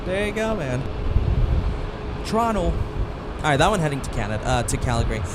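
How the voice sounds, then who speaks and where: A man's voice: a little high, big pitch swings, normal speed. A man hosting a live plane spotting stream outdoors beside an airport runway, with enthusiastic sportscast-style commentary. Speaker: plane spotting livestream host. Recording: outdoor feed with clear traffic noise.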